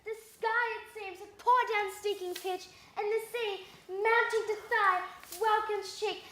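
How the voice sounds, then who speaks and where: A woman's voice: very high-pitched, fairly even intonation, unhurried, background noise. A woman voicing an earnest young heroine in a Shakespeare recitation, emphatic and heartfelt. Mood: pleading. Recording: echoey room.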